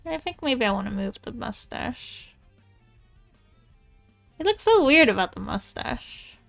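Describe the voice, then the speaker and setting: A woman artist chatting casually while she draws, thinking out loud in a rambling, tentative way. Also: fairly high pitch, big pitch swings, a little slow, gravelly. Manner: rambling, musing aloud, tentative. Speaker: artist streamer. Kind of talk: casual chat while drawing. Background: music.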